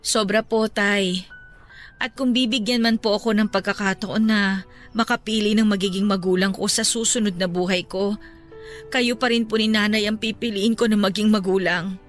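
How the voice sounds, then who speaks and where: An actress playing a caring young-adult daughter in a radio drama, talking softly and respectfully to console her father. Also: medium pitch, steady tone, normal speed, soft background music, natural voice. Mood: gentle.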